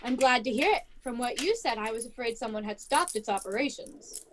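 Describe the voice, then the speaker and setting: A woman's voice: a little high, lively intonation, brisk, slight background noise, close to an everyday voice. A woman playing a cold, miserly, grumpy older female boss in an amateur radio play, curt and scornful. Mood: cold, dismissive.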